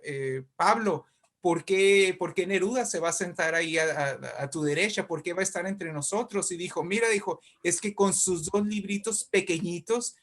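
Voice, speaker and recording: Effusive, higher-pitched man in interview. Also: normal speed, a bit bright. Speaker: guest writer and poet. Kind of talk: conversational interview, literary anecdotes.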